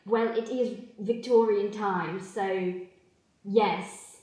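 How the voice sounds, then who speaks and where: A woman's voice: mid-range voice, steady tone, extremely slow, dark tone. A woman playing a shifty, breezy mother fresh out of prison, with an evasive manner, in an improvised radio play. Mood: dry, matter-of-fact. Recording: very echoey room.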